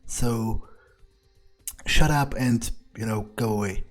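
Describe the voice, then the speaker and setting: A man improvising in character during a video game playthrough, thinking aloud bluntly and haltingly, with swearing. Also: lowish voice, soft background music. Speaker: video game player speaking as his character.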